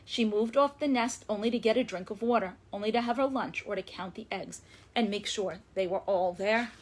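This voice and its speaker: Woman, children's storytime reader, expressive, emphatic, mid-pitched voice, faint background noise. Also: brisk. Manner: expressive, emphatic, lingers on words for young listeners. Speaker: children's librarian hosting storytime. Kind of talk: read-aloud storytelling for young children.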